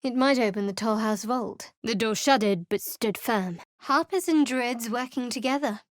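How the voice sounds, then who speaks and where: A woman's voice: fairly high pitch, normal speed, no echo, lightly acted. An actress voicing a guarded, sardonic young woman cleric with secrets, in studio game voice lines, with a dry, wry and cool way of speaking. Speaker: companion character in a video game.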